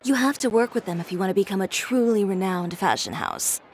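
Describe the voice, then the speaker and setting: An actress playing a poised, businesslike adult fashion designer with a composed, dry manner. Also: female voice, medium pitch, very animated, brisk, slight background noise. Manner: composed, dry, matter-of-fact. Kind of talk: video game voice acting. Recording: game audio with loud music.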